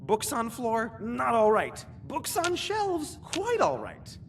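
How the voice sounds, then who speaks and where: Wildly swooping, fussy eccentric librarian. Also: female voice, fairly high pitch, wildly swooping pitch, takes it slowly, slight background noise, full character voice. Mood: fussy, muttering. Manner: rambling, repetitive, theatrical. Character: eccentric, fussy, scatterbrained post-apocalyptic librarian, rambling to themselves. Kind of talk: video game character acting.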